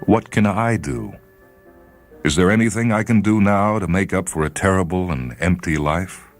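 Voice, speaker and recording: A man's voice: low-pitched, normal speed, soft background music, voice pushed up, close to an everyday voice. A man voicing a despairing lone spaceman, brooding and questioning himself, in a dramatic story reading. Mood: anguished regret. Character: Hollis, despairing lone spaceman falling to his death.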